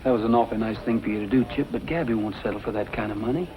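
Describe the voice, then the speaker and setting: An actor playing a kindly, steady young cowboy hero in a 1940s western drama, on a dull, noisy recording. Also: male voice, on the low side, racing, close to an everyday voice. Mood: concerned, confiding. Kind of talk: 1940s film and radio western acting. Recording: dull lo-fi old recording, background noise.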